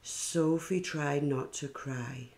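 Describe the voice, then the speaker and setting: A woman reading a children's picture book aloud in a deliberate, expressive storytelling style. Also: low-pitched.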